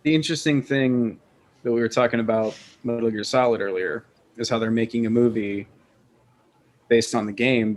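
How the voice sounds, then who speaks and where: A man chatting casually as a podcast panelist, with a joking, teasing way of talking. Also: lowish voice. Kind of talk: casual chat.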